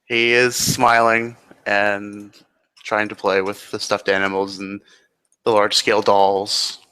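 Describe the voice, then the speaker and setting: A man's voice: fairly low pitch, level tone, takes it slowly, pushed and bright, smooth, poor audio. A man improvising third-person narration as a player in a tabletop roleplaying game.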